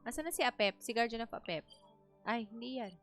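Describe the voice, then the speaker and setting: A woman's voice: on the high side, animated, fast. A woman with a Filipino accent chatting casually as a live game streamer, switching between languages. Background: game music.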